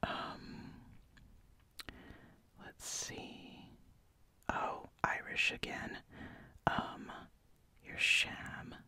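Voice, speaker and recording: An ASMR host in a breathy, unvoiced whisper, softly explaining slang with hesitant pauses and repeated words. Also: dry recording.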